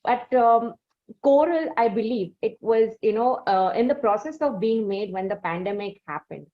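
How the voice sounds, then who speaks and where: A woman from India hosting an interview, asking long questions in a measured way with frequent "uh" and "you know". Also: a little high, expressive. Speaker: interview host. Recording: dull lo-fi sound.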